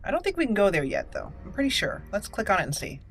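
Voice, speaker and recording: A woman's voice: mid-range voice, huge pitch swings, fast, soft background music. A woman hosting a roleplay let's play, chatting casually and playfully as she thinks aloud. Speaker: game roleplay channel host.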